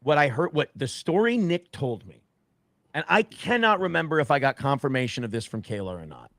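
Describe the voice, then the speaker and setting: A man's voice: medium pitch, very animated, a little fast, a bit bright, dry recording, clean studio sound. A man in a played clip telling a story he heard firsthand, repeating and correcting himself as he goes. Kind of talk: casual stream talk.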